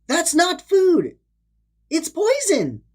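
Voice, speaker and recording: A woman's voice: very high voice, huge pitch swings, extremely slow, a little mellow, pitched above the performer's own voice, heavily acted. A woman voicing a brave, lovesick male sea creature in a children's storybook, earnest and dramatic. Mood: alarmed.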